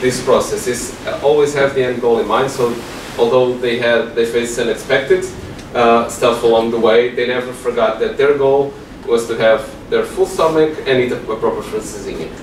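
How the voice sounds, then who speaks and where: A man presents at a meetup, pausing often on fillers. His voice is mid-pitched. He is heard from a distance in a reverberant room with noticeable background noise. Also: steady tone. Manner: hesitant, many fillers. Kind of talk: tech meetup talk. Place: large meeting room with projector. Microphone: far room mic. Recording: echoey room from far off, noisy.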